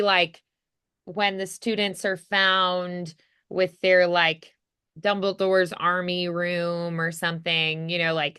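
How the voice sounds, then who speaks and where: Bright, clear-voiced woman coaching voice acting. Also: on the low side. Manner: effusive, encouraging. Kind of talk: casual encouraging coaching feedback.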